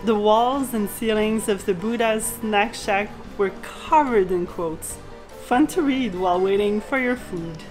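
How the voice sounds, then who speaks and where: A woman in her thirties narrating a travel vlog in a bright, upbeat, casual way. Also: mid-range voice, a little slow, over music, rough recording. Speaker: sailing vlogger.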